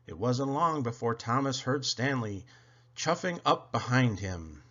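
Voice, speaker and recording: A man reading a children's story aloud in an expressive, dramatic, deliberate way. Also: medium pitch, highs cut off. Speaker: storybook reader.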